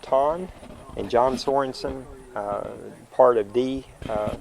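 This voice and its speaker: A man interviewing people on site, relaxed and hesitant with many fillers. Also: mid-range voice, takes it slowly, gravelly, rough recording.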